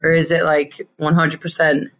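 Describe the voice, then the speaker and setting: A woman's voice: low voice, flat delivery, normal speed, fairly bright tone. A woman from Connecticut hosting a podcast, chatting in a playful, upbeat way as she introduces her guest and asks him questions. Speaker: podcast host.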